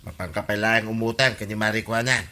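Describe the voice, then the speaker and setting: Sharp, pushy husband. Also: male voice, lowish voice, steady tone, quick pace. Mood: insistent. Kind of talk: Filipino comedy radio drama acting.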